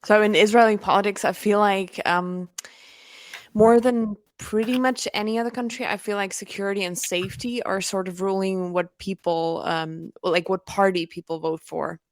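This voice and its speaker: A woman's voice: mid-range voice, steady tone, moderate pace, no echo. A woman hosting a conversational interview, phrasing her questions politely and carefully. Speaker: podcast host, interviewer.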